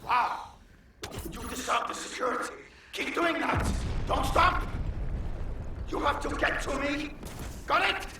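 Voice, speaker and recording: A man's voice: extremely high pitch, slow pace, long pauses, exaggerated voice. A man voicing a manic alien pyromaniac villain in a video game, erratic, swinging between begging and threats. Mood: desperate, demanding. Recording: distant, echoing, noisy game audio.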